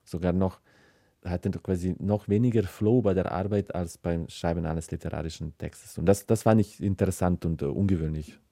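A man from the former Yugoslavia with a Balkan accent, a writer talking reflectively and haltingly in an interview. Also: low voice, steady tone, gravelly, mellow, no room sound, studio quality. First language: South Slavic language of former Yugoslavia. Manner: halting, searching phrasing with repeated words.

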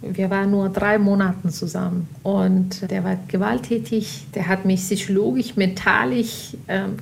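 A woman's voice: medium pitch, gravelly, darkish tone, a little hiss or hum. A woman, telling her story conversationally, searching for words and slipping into English. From Pakistan, of Afghan family. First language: not German. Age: 20s. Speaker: interview guest.

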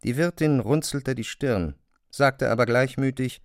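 A man reading scripted literary narration as a storyteller, in a measured, deliberate way. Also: medium pitch, no echo, studio quality.

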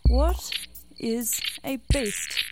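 A woman's voice: a little high, lively intonation, drawn-out words, heavy background noise. A woman delivering short phrases as a repeated vocal hook in an electronic sound-art piece. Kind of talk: slow repeated English vocal hook in a techno track. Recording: voice within loud electronic music. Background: techno beats with insect chirping and buzzing.

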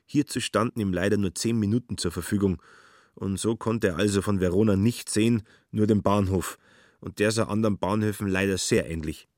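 A man's voice: on the low side, fairly quick, darkish tone, no echo, studio quality. A man reading scripted literary narration as the narrator of a radio drama. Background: faint music.

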